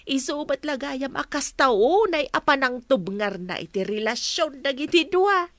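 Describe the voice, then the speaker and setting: A woman's voice: high voice, animated, unhurried, a bit bright, dry recording, clean studio sound. An actress playing a gossipy younger household woman sharing secrets in an Ilocano audio drama. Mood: gossiping.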